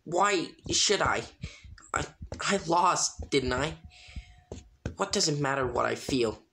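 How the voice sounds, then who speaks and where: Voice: medium pitch, animated, takes it slowly, vocal fry, pushed and bright, heavily acted. A reader voicing a proud, bitter young female villain whose bravado is cracking, in a noisy home recording. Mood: defiant, bitter.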